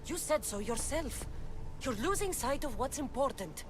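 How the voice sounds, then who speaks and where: A woman's voice: high voice, animated, normal speed, music in the background. An actress playing a resolute, pragmatic woman adventurer who speaks firmly and earnestly in a video game cutscene. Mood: stern, pleading. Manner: firm, earnest, direct.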